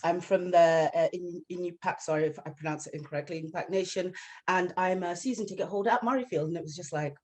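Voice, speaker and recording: A woman on a panel who is a member of a campaign, recounting events in an animated way. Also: lowish voice, fast talker. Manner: animated storytelling with laughs. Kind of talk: casual panel discussion.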